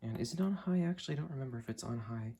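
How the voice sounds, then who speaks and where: A young man in his late teens giving a casual, unscripted product demo in a halting, understated way. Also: mid-range voice, expressive, dark tone, breathy edge. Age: late teens, young adult. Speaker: hobbyist reviewer. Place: indoor room at a desk.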